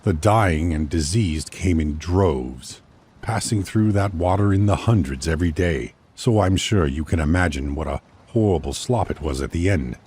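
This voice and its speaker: Man as very deep solemn storyteller. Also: expressive, a little mellow, a little hiss or hum. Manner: solemn, deliberate. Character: grave, worldly male storyteller recounting dark tales. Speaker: voice actor. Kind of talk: dramatic scripted storytelling narration.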